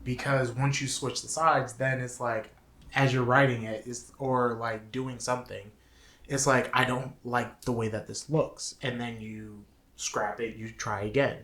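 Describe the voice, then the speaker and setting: A man's voice: mid-range voice, flat delivery, measured pace, fairly bright tone. A man co-hosting a podcast in casual conversation, talking in a self-deprecating way. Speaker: podcast co-host. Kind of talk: casual chat. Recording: clearly noisy room recording.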